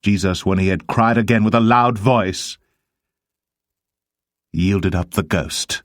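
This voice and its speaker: A man with a deep voice narrates scripture in a solemn, measured way. His delivery is that of a scripted, dramatised Bible reading. The recording is close and very dry. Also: dry recording.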